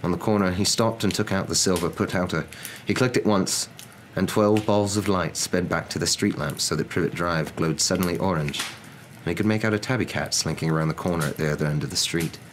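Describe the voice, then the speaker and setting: Low-voiced man reading a story, noisy background. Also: a little fast. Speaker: narrator reading a novel aloud. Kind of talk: hushed ASMR storybook narration. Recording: noticeable background noise.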